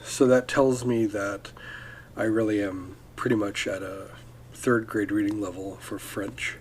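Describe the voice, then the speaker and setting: A man's voice: on the low side, fairly even intonation, measured pace, a little hiss or hum, low-quality recording. A man hosting a casual solo podcast, talking in a loose, rambling way full of ums and restarts. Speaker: podcast host.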